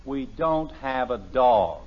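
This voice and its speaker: Radio sitcom father: mid-pitched, lively inflection, dry sarcasm, exasperated, dull vintage sound. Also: slow pace. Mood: baffled, insistent. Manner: dry sarcasm, wordy, mock-formal. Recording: muffled old radio broadcast recording.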